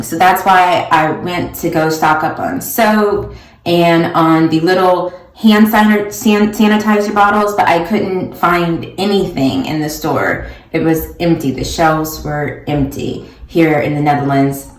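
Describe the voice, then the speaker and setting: A middle-aged woman, a social epidemiologist, explaining in a measured way as she narrates and vlogs. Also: lowish voice, rough recording. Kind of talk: personal vlog and voice-over narration.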